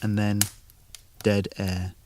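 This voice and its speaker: A man's voice: on the low side, little pitch movement, slow, background noise. A man narrating a scripted short story as the storyteller.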